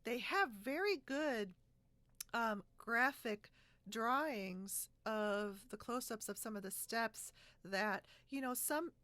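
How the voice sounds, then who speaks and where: A woman's voice: on the high side, expressive, takes it slowly, sharp tone, dry recording. A woman hosting a casual video podcast over a video call, talking in a chatty way and often laughing as she goes. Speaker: podcast host. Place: split-screen video call, recorded dry.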